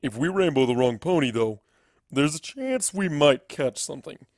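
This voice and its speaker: Casting: male actor as a grandiose elderly cartoon wizard unicorn, jovial, fairly high for a man, dry close sound. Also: expressive, moderate pace, darkish tone, no room sound. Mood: mischievous, matter-of-fact.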